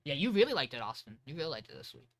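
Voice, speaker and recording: A man co-hosting a casual podcast, thinking aloud, hedging his opinions and filling pauses with "um". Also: fairly high pitch, sing-song. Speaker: podcast co-host. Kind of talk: casual chat reviewing anime.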